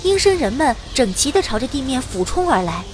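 A woman's voice: sits high, very animated, a little fast, very noisy. A woman playing a perky, self-admiring young magician who theatrically narrates an adventure in a fantasy audio drama. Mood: dramatic. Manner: theatrical, playful storytelling.

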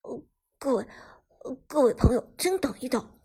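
A woman acts out a shy young man from an audiobook novel, flustered and eager to apologize. His voice is husky and fairly high, and he stammers and hesitates timidly. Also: lively intonation, moderate pace, dark tone, exaggerated voice. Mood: timid, pleading.

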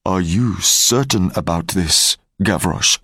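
Earnest sad young man, read by a male narrator, slow and dry. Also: low-pitched, vocal fry, sharp tone, slightly rough, no room sound, pitched below the performer's own voice, exaggerated voice.

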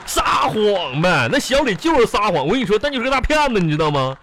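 A man's voice: sits high, sing-song, fast talker, fairly bright tone, shouting. A henpecked husband squirming through excuses in a radio comedy. His Northeastern Chinese speech leaps up and down as he stammers, pleads and repeats himself. Music plays along behind him. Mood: indignant, defensive. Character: flustered, sheepish husband caught fibbing, henpecked and pleading. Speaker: radio show host and performer. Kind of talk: live comedy radio drama acting.

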